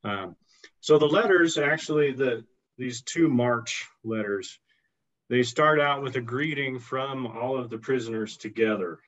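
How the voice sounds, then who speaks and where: A middle-aged man on a video call giving a measured explanation of history, pausing and restarting phrases. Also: medium pitch, fairly bright tone. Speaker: historian guest. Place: indoor office with bookshelves. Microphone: video call computer mic. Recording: dull lo-fi video call audio.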